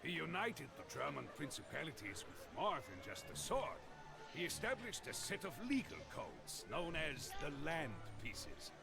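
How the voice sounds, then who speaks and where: Theatrical, creaky-voiced male storyteller. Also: fairly high pitch. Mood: wry relish. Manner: dramatic, deliberate, savoring phrases. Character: theatrical male storyteller recounting medieval history with relish. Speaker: narrator. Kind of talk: dramatic scripted storytelling narration for a video game.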